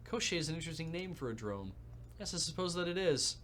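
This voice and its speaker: A man reading aloud the lines of a polite, wry Russian drone engineer, with a faint Russian accent and formal, measured speech. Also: a little high, lively intonation, background noise, close to an everyday voice. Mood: wry, courteous.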